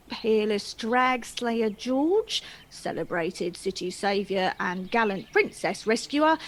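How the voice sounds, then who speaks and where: A slow, declaiming lady reporter. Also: on the high side, heavily acted. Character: magazine reporter reading out pompous saintly news. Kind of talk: declaimed reading in a stage play.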